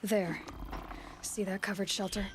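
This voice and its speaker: An actress playing a determined young huntress in a video game, self-assured and focused. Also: female voice, medium pitch, flat delivery, measured pace, noisy background, natural voice. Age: young adult. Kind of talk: dramatic video game dialogue.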